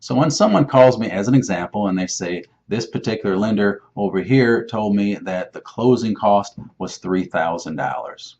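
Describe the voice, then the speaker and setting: A man's voice: on the low side, animated, normal speed, hardly any pauses, a little mellow, slight background noise. A man giving an informal explainer as a lender, plain-spoken and emphatic, often posing questions and answering them himself. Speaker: mortgage lender giving advice. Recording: dull, muffled lo-fi sound.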